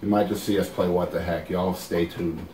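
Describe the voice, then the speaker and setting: A man's voice: sits low, level tone, moderate pace, dark tone. A man with a Boston accent hosting an interview show, chatty and enthusiastic. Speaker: podcast host. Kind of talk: casual interview chat. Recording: roomy, from a distance.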